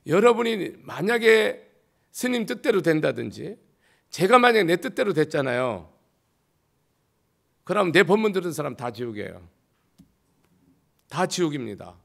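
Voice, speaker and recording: A man, a Buddhist monk, giving a sermon with a slightly hoarse voice, driving his points home with rhetorical questions and jumps between loud and quiet. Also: fairly high pitch, very animated, moderate pace, pauses often.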